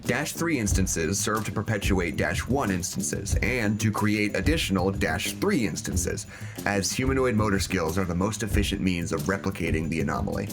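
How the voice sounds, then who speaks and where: An actor playing a clinical reader of anomaly files, narrating formally in a measured way for an audio drama. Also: male voice, sits low, animated, music in the background.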